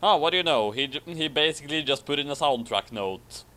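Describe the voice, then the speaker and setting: A man's voice: a little high, expressive, brisk, bright, forceful tone. A man co-hosting a casual commentary, reading a story aloud theatrically and adding dry, sarcastic asides.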